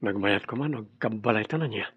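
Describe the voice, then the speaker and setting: An actor with an Ilocano accent playing an easygoing young office worker and boyfriend, in a conversational radio drama style. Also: male voice, on the low side, a little fast, slightly rough, no echo, muffled recording. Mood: affectionate.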